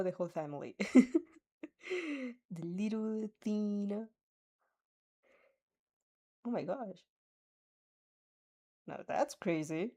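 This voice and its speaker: A woman's voice: lowish voice, dark tone. A young woman reading a fanfic aloud, often breaking off to laugh and react, stumbling and restarting as she goes.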